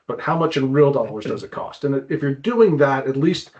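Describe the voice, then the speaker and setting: A man speaks as an expert guest in an informal interview. He explains things conversationally, haltingly, circling back over his words. The audio is somewhat dull and lo-fi. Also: medium pitch, lively intonation, a little mellow. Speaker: guest climbing wall consultant.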